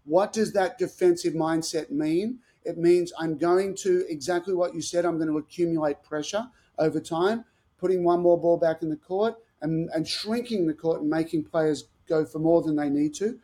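A man's voice: fairly high pitch, moderate pace, warm tone. A man talking as a guest tennis analyst on a podcast interview, explaining with enthusiasm. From Australia.

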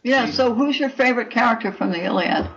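A woman's voice: on the high side, a little fast, a little hiss or hum. An older woman speaking conversationally as an author guest, emphatic and full of firm opinions. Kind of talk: conversational interview. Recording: muffled, distant microphone.

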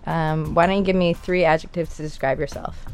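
A woman asking dating-game contestants questions in a casual, hesitant way with many pauses. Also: low-pitched, fairly even intonation, soft background music. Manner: hesitant, lots of ums.

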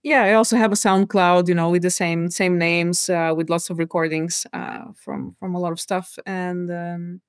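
A woman's voice: on the low side, steady tone, moderate pace, few pauses, smooth, dry recording, clean studio sound. A woman talking casually as an interview guest, thinking aloud in a hesitant, rambling way. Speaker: sound designer, podcast guest.

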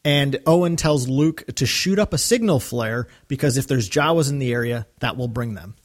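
A man's voice: medium pitch, lively intonation, normal speed. A man co-hosting a podcast in casual, enthusiastic discussion. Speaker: podcast co-host. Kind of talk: casual discussion of a radio drama.

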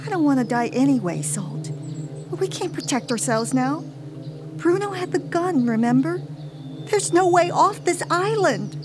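A woman's voice: sits high, sing-song, moderate pace, music in the background. An actress playing a frightened, skeptical woman on the run in an audio drama, blunt and doubting. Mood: despairing.

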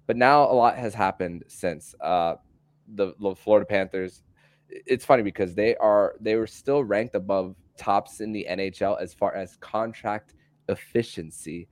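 A man hosting a casual sports podcast, speaking haltingly and often repeating words as he restarts phrases. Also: fairly low pitch, steady tone, a little mellow, no room sound. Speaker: podcast host interviewing a guest.

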